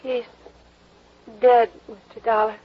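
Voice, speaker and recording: An actress playing a dazed, frightened young woman in a 1950s radio drama, halting and trailing off, on a dull old broadcast recording. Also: a little high, little pitch movement, a little hiss or hum. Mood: dazed, shaken.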